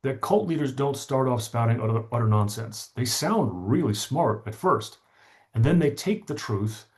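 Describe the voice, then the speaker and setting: Articulate middle-aged man, medium-pitched voice. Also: expressive, moderate pace. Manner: articulate, emphatic, stresses points by repetition. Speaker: podcast guest, author. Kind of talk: conversational storytelling and explaining in an interview.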